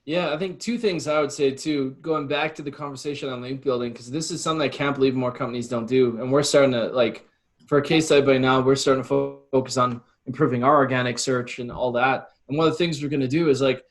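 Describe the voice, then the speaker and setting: Mid-pitched man, casual and opinionated. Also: steady tone, quick pace. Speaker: podcast guest, copywriter.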